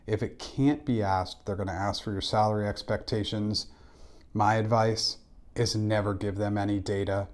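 A man's voice: lowish voice, a little mellow. A man in his forties giving instructional advice to camera as an interview coach, direct and emphatic on key points. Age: 40s. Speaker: interview coach and former recruiter. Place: small indoor room.

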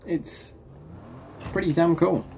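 A man's voice: a little high, fairly even intonation, slow pace, gravelly. A young man giving casual commentary over a game, chatting to viewers. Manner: chatty, addresses viewers as folks. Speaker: gaming YouTuber. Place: home room, facecam. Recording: background noise.

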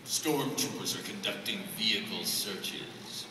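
A man's voice: fairly low pitch, a little slow, close to an everyday voice. A man playing the narrator who sets the scenes in a live scripted radio play. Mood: scene-setting. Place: large convention hall, recorded from the audience. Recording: distant echoey hall, background noise.

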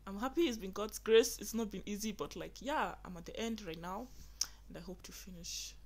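A woman's voice: medium pitch, brisk, a little mellow. A young woman with a Nigerian accent, chatting earnestly to viewers in a casual vlog from her bedroom. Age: young adult. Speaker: vlogger. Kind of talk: casual get-to-know-me vlog. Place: small bedroom indoors.

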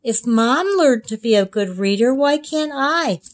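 Anxious young storybook schoolgirl, played by a woman, childlike, determined, unhurried pace. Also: on the high side, animated, pitched above the performer's own voice. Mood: hopeful. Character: anxious, determined young girl, a first grader.

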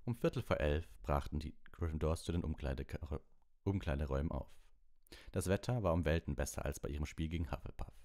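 Male narrator for a bedtime audiobook, low register, reading scripted prose. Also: a little fast, a little mellow.

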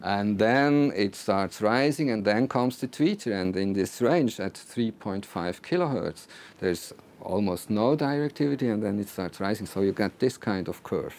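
Guy in his 60s doing a technical talk, gravelly lowish voice, kinda halting, repeats words. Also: lively intonation, a little mellow. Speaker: loudspeaker designer presenting. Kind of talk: technical talk to a small audience. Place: small recording studio control room with seated audience.

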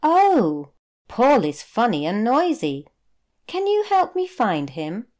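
A British woman voicing a small, earnest male puffin in a picture book, slow and emphatic. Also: on the high side, wildly swooping pitch, darkish tone, pitched above the performer's own voice, heavily acted. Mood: hopeful, anxious.

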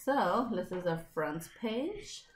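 A woman's voice: medium pitch, big pitch swings, slow pace, echoey room, a little hiss or hum. A young woman speaking as a teacher, guiding a children's read-along with simple, encouraging prompts. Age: young adult.

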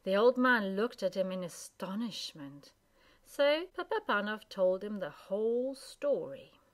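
A woman storyteller reading a storybook aloud in an expressive narrating style. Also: mid-range voice, a little slow.

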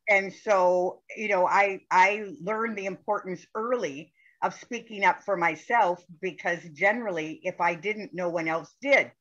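A woman's voice: mid-range voice, unhurried, a bit bright, highs cut off. A woman participant on a video call telling a personal story in a workshop, earnest and full of conviction. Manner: earnest, recites a poem with conviction.

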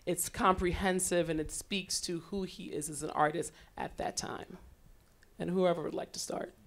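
A woman's voice: fairly low pitch, moderate pace. Chatty woman moderating a panel. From Trinidad. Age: 40s. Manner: chatty, storytelling, animated laughter. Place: lecture hall on a small stage.

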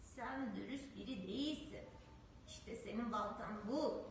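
A woman's voice: on the high side, unhurried, recorded from a distance, slight background noise, voice pushed down. Woman voicing a kind old miller. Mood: stern. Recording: very echoey room, voice off-mic.

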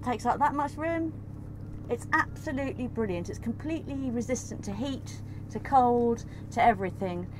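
A woman's voice: on the high side, expressive, moderate pace, noisy background. Bubbly woman praising kitchen gear. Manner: bubbly, emphatic. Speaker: sailing vlog co-host. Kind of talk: casual chat presenting gear. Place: cockpit of a sailboat at anchor, outdoors.

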